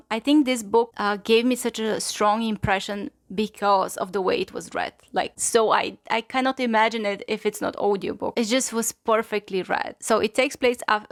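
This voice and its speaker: A woman's voice: mid-range voice, creaky, no room sound, clean studio sound. A woman whose first language is Bulgarian, speaking English with a Bulgarian accent as she hosts a casual, enthusiastic book review, chatty and full of "like"s. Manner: enthusiastic, gushing, chatty with many "like"s. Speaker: book channel host.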